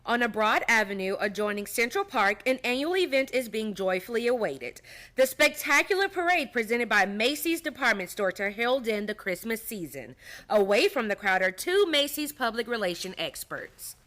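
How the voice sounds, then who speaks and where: A woman narrates an old-time radio play with theatrical flourish. Her voice is bright and fairly high, and her intonation is lively. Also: normal speed.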